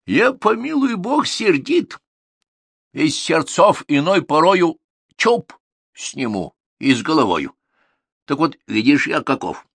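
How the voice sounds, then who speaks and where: A man voicing a hot-tempered old bearded tsar who shouts threats. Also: on the high side, sing-song, slow pace, gravelly, breathy edge, no room sound, studio quality, pitched above the performer's own voice, full character voice. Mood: angry, threatening.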